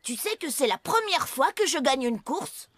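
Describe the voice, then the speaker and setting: A woman's voice: high-pitched, sing-song, normal speed, a bit bright, no echo. A woman in a French children's cartoon dub voicing a cheerful, friendly, good-natured young tractor. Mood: soothing, pleased.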